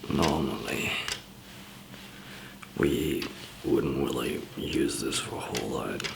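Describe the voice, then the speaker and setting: A man doing a whispered ASMR roleplay as a calm apocalypse survivor, murmuring in a hesitant, halting way. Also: extremely low pitch, creaky, noisy background. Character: calm zombie apocalypse survivor handing out supplies.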